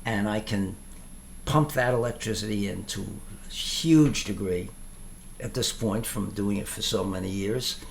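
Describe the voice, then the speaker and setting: A man thinking aloud in an informal explanatory monologue, halting and reflective, often pausing mid-phrase. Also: fairly low pitch, gravelly, slight background noise. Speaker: tai chi teacher.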